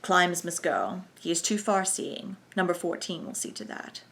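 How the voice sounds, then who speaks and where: A woman reading a clear-voiced, brisk German plotter. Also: sits high, very animated, sharp tone, slight background noise, full character voice. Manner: brisk and businesslike. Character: confident German man, conspiracy organizer.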